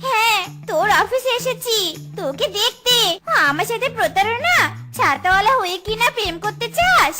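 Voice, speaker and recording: A woman voicing a gushing, quick-tempered young woman in a children's cartoon, exclamatory and theatrical. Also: very high voice, very animated, music in the background. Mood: furious.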